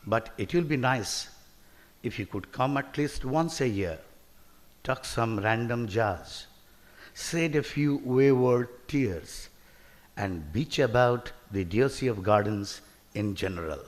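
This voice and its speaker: A man reading his poem in a slow, wry declamation at a literature festival. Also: medium pitch, expressive. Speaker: poet. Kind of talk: poetry reading. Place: festival hall. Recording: long hall reverb.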